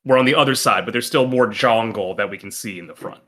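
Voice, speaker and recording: A man's voice: medium pitch, quick pace, sharp tone. A man talking casually and slangily as a player in a tabletop game. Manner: informal, slangy, swears casually. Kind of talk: casual chat.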